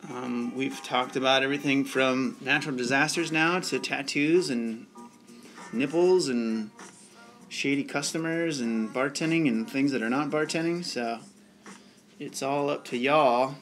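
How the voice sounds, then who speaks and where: Chill young dude live streaming, chatty, swears a bit, faint music behind. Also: male voice, medium pitch, a little slow, a bit bright. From United States. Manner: laid-back, chatty, swears casually. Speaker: bartending channel host. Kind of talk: casual live-stream chat.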